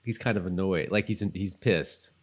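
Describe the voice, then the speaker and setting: A man with a low-pitched voice chats as co-host of a rewatch podcast. He is informal and rambling, working out his thoughts in loose fragments as he speaks. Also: normal speed. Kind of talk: casual chat, commentary on a TV show.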